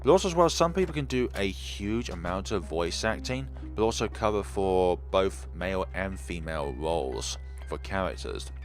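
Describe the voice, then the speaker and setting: Calm low-voiced young male streamer. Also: normal speed, hardly any pauses, faint music behind. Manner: calm, relaxed, low-key. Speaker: game streamer. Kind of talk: casual let's play chat.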